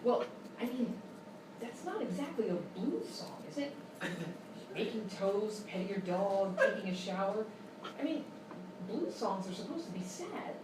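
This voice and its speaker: A woman playing an earnest, courteous radio interviewer in a live comedy sketch, speaking in a formal, measured way. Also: mid-range voice, lively intonation, close to an everyday voice. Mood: politely flustered. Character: polite, earnest female radio interviewer, increasingly flustered. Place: live show at a hotel venue. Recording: echoey, noisy live room, distant mic.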